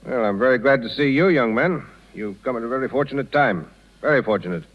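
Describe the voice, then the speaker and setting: An actor playing a stuffy, coldly polite, disapproving town gentleman with formal, measured speech in a 1930s radio drama. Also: mid-range voice, expressive, close to an everyday voice. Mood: unctuous, polite. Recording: muffled 1930s radio broadcast.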